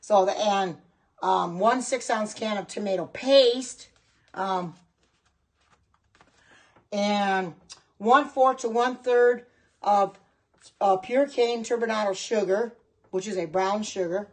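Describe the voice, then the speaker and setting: A woman's voice: medium pitch, slow pace, long pauses, slightly rough. Chatty middle-aged woman livestreaming. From Oregon. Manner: chatty, reads and answers viewer comments. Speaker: livestream host and kitchen products seller. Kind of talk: casual livestream chat with viewers.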